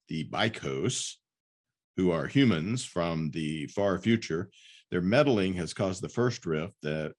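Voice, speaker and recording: Man, lowish pitch, podcast host, announcing and chatting. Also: husky. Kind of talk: scripted book announcements and casual interviewing.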